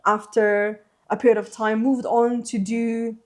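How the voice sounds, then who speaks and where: A woman's voice: fairly high pitch, little pitch movement, a little slow, darkish tone. A woman whose first language is Slovene, answering interview questions on a podcast in a thoughtful, self-deprecating way with many fillers. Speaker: interpreter trainer.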